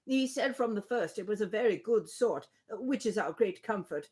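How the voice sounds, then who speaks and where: A woman's voice: mid-range voice, lively intonation, normal speed, darkish tone, natural voice. A woman reading aloud as a fretful, affectionate elderly father in a storybook, rambling anxiously. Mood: fretful, doting.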